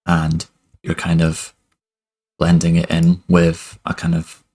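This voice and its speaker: A young man teaching music production over a screen recording in a relaxed, loose way, with lots of "kind of" and "basically", speaking into a headset mic in a home studio. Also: low-pitched, slow pace. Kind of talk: screen-recorded software tutorial. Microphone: headset with webcam inset.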